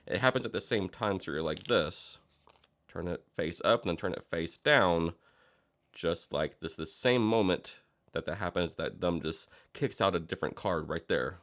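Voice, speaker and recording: Young man, very low voice, stuffy with a cold; casual tutorial host, loose and rambling. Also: normal speed. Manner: relaxed, explanatory, with frequent fillers and restarts. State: head cold. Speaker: magic instructor.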